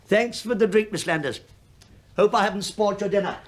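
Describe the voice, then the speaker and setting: An actor playing a chatty, falsely bumbling middle-aged English police inspector who needles politely in a British radio drama. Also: male voice, sits high, a little fast. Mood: genial rambling. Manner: rambling, deferential, needling small talk.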